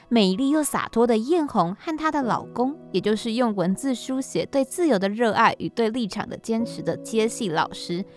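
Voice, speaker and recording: A woman with a Taiwanese Mandarin accent, hosting a podcast conversationally. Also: a little high. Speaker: podcast host and scriptwriter.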